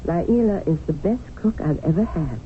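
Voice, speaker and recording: Woman playing bossy practical english widow in colonial india, lively voice, tinny old radio drama. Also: on the low side, moderate pace, a little hiss or hum, lightly acted. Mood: matter-of-fact.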